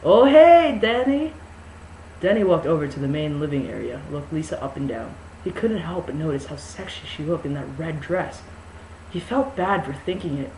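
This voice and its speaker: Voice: mid-range voice, a little mellow. A narrator giving a mock-dramatic amateur reading of a novel, with casual introductory talk. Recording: echoey room with faint noise.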